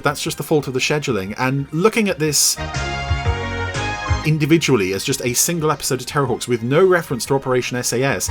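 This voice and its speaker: A man's voice: a little high, fairly bright tone. A man co-hosting a podcast, chatting enthusiastically through an episode review. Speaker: podcast co-host.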